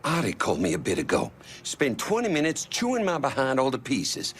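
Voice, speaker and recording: A man's voice: medium pitch, wildly swooping pitch, brisk, a bit bright, slight background noise. A man playing a fussy, chatty, easily indignant small-time salesman in a crime game, with folksy, mild-mouthed turns of phrase. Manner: folksy, mincing oaths, hesitant then indignant. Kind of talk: dramatic video game acting.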